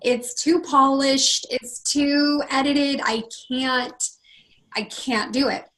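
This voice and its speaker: A woman with a husky, hoarse voice, speaking as a podcast guest in a casual interview and acting out quoted dialogue with big jumps in pitch. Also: steady tone, slow, a bit bright, rough recording. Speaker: podcast guest, confidence consultant.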